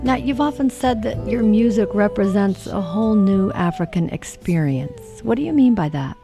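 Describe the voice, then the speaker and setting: A woman's voice: medium pitch, lively intonation, moderate pace, over music. A woman hosting a radio interview, asking questions in a conversational way. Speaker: radio host.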